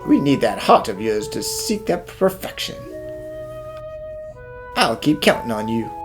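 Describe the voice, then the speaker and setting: A woman voicing a brisk, self-assured bank executive in a cartoon fan dub, with a businesslike yet theatrical delivery. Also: very deep voice, sing-song, music in the background, full character voice. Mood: firm, persuasive. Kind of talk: animated mystery fan dub acting.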